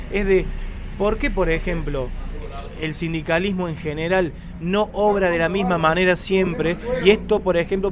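A man with an Argentine accent, a street reporter asking long, argumentative leading questions. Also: high-pitched. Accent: Argentine Spanish. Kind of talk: pointed interview questions. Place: city street outdoors.

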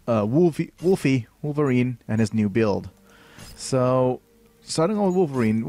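A man's voice: medium pitch, a little mellow. A young man from Thailand with a light Thai accent, streaming and explaining a game with many fillers and restarts. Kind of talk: live game commentary.

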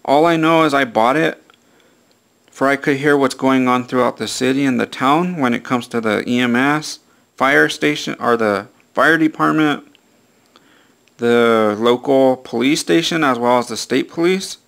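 A man with a creaky, gravelly voice at an ordinary male pitch talks through a casual hands-on review. He is plain-spoken and repeats himself, like an amateur showing off something he bought. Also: unhurried, a bit bright. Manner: plain-spoken, repetitive, frequent "all right".

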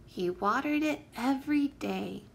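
A woman reading a children's picture book aloud as a teacher would, with careful, expressive storytelling emphasis. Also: high voice, unhurried, creaky.

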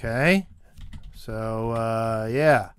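A man's voice: lowish voice, extremely slow, clear, resonant tone, no room sound. A man chatting casually as he plays a game, with a playful, joking manner. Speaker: gamer playing a co-op roleplay map. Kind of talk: casual gameplay chat.

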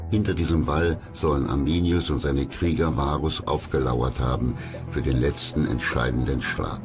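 Man, extremely low voice, measured dramatic documentary narration, loud music behind. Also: steady tone.

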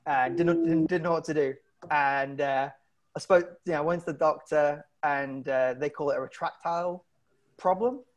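A British man in his thirties doing a halting, self-deprecating stand-up comedy set over a video call from home, on earphone mic. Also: a little high, steady tone. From Britain. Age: 30s. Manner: halting, self-deprecating, often chuckling at his own lines. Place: indoor room at home. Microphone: wired earphones on a video call.

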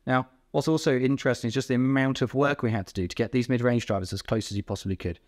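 A man in his thirties explains technical details as an expert would, informally and a little haltingly. His voice is mid-pitched, and he often rephrases himself along the way. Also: fairly even intonation. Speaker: technical director explaining a product. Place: indoor company workroom.

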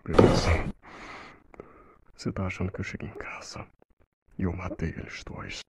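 A young Brazilian man dubbing a defensive, anguished young man under interrogation in a TV drama, breathy and halting in his delivery. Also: low-pitched, no echo, exaggerated voice. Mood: bitter, indignant. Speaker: fandub voice actor.

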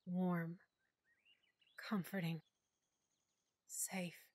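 A woman narrating an audiobook in the first person, quietly and with emotion. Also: on the low side, little pitch movement, drawn-out words, dry recording.

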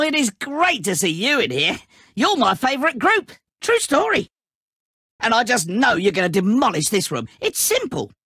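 A man's voice: very high voice, a bit bright, no echo, studio quality, heavily acted. An actor playing a giddy, taunting, all-powerful snowman trickster in a video game, with a gleeful, mocking, hammy delivery. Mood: playful taunting.

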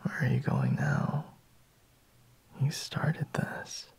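A man playing a sleepy, intimate boyfriend in a whispered ASMR roleplay, murmuring haltingly in a breathy, husky voice. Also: medium pitch, sing-song, darkish tone, dry recording, exaggerated voice. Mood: hushed, flustered.

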